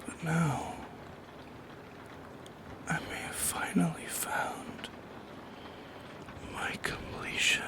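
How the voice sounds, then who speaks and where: A man voicing a lonely, gently eerie shadow creature in an ASMR roleplay, in a breathy, hushed whisper with poetic, lingering phrasing. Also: fairly high pitch, fairly even intonation, extremely slow, long pauses. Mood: wistful, yearning. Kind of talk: soft-spoken ASMR roleplay monologue.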